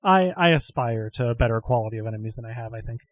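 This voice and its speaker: A man hosting and narrating a tabletop game podcast as game master, talking haltingly with lots of fillers and easy chuckles. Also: mid-range voice, animated.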